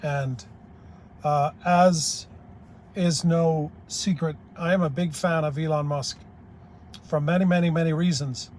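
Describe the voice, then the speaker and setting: A man's voice: on the high side, fairly even intonation, measured pace, darkish tone, a little hiss or hum. Blunt older Irish-born man. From Ireland. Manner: blunt, plain-spoken, rambling. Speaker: YouTube commentator. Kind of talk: opinionated monologue.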